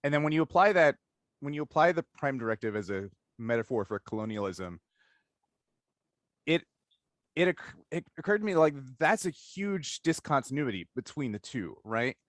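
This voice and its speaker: A man's voice: mid-range voice, very animated, moderate pace, no echo, clean studio sound. A man hosting a casual discussion interview, thinking aloud analytically in long stretches and often checking in with "right?". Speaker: interviewer, podcast host.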